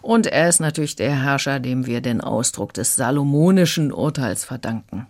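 A radio critic reviews an audiobook in conversation. The delivery is calm, explanatory and thoughtful, at an ordinary middle pitch. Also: moderate pace.

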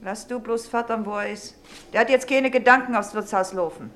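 An actress playing an ailing, sharp-tongued carter's wife in a radio drama, complaining bitterly with a Silesian accent. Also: female voice, fairly high pitch, brisk, natural voice. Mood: bitter, scolding. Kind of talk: stage-style German radio drama acting.

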